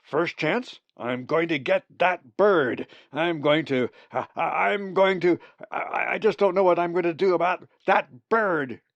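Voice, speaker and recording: A man's voice: fairly high pitch, a little slow, hardly any pauses, no room sound, highs cut off, pitched above the performer's own voice, natural voice. A man voicing a hot-tempered male raven in a storybook, blustering and stammering with rage. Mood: furious.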